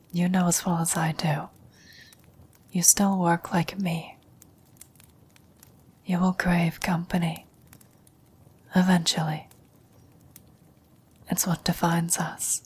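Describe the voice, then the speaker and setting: A woman voicing a vampire's dying former lover in an ASMR roleplay, a wistful, knowing woman who whispers in a breathy, solemn, deliberate way. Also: on the low side, level tone, a little mellow, a little hiss or hum. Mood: knowing, melancholy.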